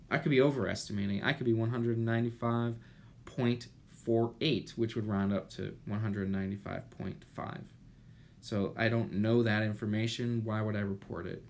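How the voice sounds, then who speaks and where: A man in his thirties giving an explanatory lecture as an instructor, deliberate and pausing, with rhetorical questions. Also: fairly low pitch. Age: 30s. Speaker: chemistry instructor. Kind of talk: explanatory lecture over slides. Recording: noticeable steady background noise.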